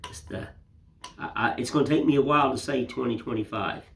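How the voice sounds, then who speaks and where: An older man with a raspy, hoarse voice from a cold, chatting casually and rambling as he hosts his woodcarving channel. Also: medium pitch, brisk, fairly bright tone, a little hiss or hum. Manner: rambling, homespun, folksy filler phrases. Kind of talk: casual chat to camera.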